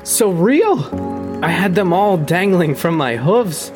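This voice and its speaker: A man playing an excitable, scheming young reindeer and mama's boy in a comic radio drama, eager and melodramatic. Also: high voice, slow pace, music in the background. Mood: giddy, triumphant.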